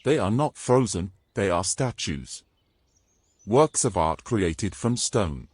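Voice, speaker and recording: A man's voice: lowish voice, lively intonation, slow pace, a little mellow, no echo, clean studio sound. A man playing a grumbling, exasperated adventurer in a fantasy audio drama, haughty and formal in his phrasing. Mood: impatient. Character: grumbling, exasperated young adventurer carrying a mermaid.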